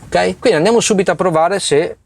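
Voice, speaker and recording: Higher-pitched man giving an informal tutorial. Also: quick pace, vocal fry. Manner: explanatory, checks in often with short tags. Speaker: host of a music production tutorial channel. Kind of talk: informal step-by-step software tutorial.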